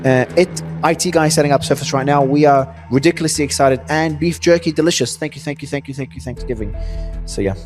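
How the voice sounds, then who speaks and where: A man in a conversational discussion, reading messages aloud and commenting, in a halting way with repeated phrases. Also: a little high, music in the background.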